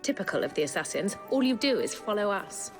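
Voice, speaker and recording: An actress playing a cold, menacing female Templar villain in a Victorian video game, speaking in a measured, taunting, commanding way. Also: medium pitch, big pitch swings, over music, natural voice. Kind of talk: dramatic video game cutscene acting.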